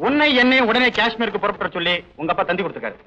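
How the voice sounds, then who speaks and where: Boastful coward uncle, very high and bright, quick, wailing, dull lo-fi film sound. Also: male voice, animated, full character voice.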